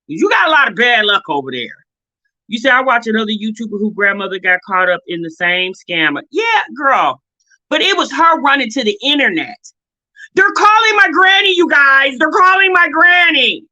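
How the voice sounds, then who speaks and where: A woman's voice: a little high, big pitch swings, normal speed, bright, forceful tone, rough recording. An American woman giving casual, opinionated commentary as a reaction video host, blunt and sassy, often exasperated and firing off rhetorical questions.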